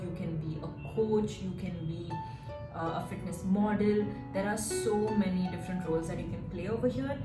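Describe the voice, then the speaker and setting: A young woman in her twenties chats to camera as a fitness coach, telling her stories in a lively, animated way. Her mid-pitched voice rings around a reverberant room. Music plays clearly underneath. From Singapore. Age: 20s. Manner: chatty, animated storytelling with frequent "like" and "right?". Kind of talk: casual vlog chat. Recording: echoey room with music behind.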